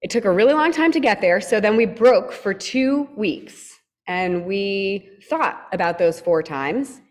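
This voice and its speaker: A woman's voice: medium pitch, animated, unhurried, long reverb tail. A woman moderating a panel event, explaining the program in a friendly way with some fillers. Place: event hall.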